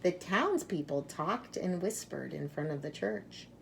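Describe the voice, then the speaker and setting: Lady reading a kids story, slow and lowish, dramatic pauses, bit of background hiss. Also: lively intonation, gravelly. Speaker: storybook reader. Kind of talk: expressive children's read-aloud.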